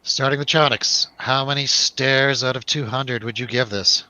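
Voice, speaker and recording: A man's voice: medium pitch, fairly even intonation, moderate pace, bright, forceful tone, no echo, rough recording. A man hosting a casual, jokey film review discussion, with a teasing manner. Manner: joking, teasing. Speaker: host of a film review show. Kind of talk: casual jokey panel chat.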